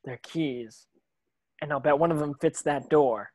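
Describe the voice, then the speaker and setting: A man's voice: a little high, unhurried, darkish tone, a little hiss or hum, close to an everyday voice. A man playing a terse, brave schoolboy wizard in a screenplay table read. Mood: observant.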